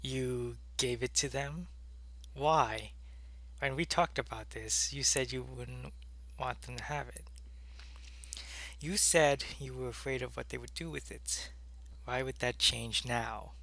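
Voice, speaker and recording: A man playing a devoted alien soldier in an ASMR audio roleplay, with a gravelly, earnest and deliberate delivery. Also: mid-range voice, fairly even intonation, pushed and bright, exaggerated voice. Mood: demanding, hurt. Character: devoted alien soldier, gruff in battle, tender with his lover.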